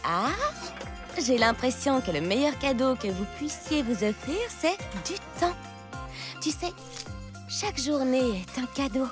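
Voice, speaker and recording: A woman voicing a sweet, caring young female character in an animated cartoon, with an expressive, gentle delivery. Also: sits high, a little slow. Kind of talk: French animated cartoon dub. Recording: music bed under the dialogue.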